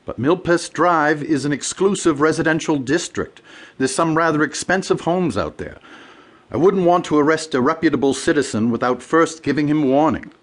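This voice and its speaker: An American actor playing a cautious deputy district attorney in a radio drama, polite, official and probing. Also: male voice, fairly high pitch, normal speed, close to an everyday voice. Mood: questioning.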